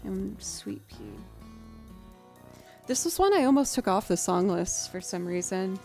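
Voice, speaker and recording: A young woman chats loosely on her livestream, musing out loud with plenty of ums and likes. Her naturally low voice has a soft, warm tone. Faint music sits underneath. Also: very animated, measured pace. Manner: chatty, thinking aloud, with fillers. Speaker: streamer and musician. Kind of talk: casual livestream chat.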